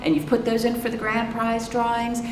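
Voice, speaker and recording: A woman hosting a children's storytime as a librarian, reading aloud and chatting in an animated way, putting questions to young listeners. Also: fairly high pitch, normal speed. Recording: echoey reverberant room with background noise.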